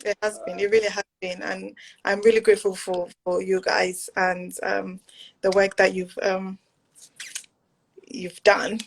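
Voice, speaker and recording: A woman's voice: mid-range voice, little pitch movement, unhurried, gravelly, a little hiss or hum, rough recording. A woman hosting a live-stream chat, friendly and a little hesitant, with ums. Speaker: host. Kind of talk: casual live-stream conversation.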